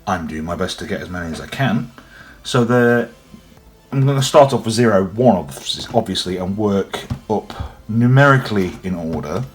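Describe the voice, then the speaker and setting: A young man with a cold, his voice deep and gruff, chatting casually and rambling as he unboxes and reviews a toy. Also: animated, moderate pace, soft background music. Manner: rambling, chatty, frequent ums. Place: indoors at a table, off camera.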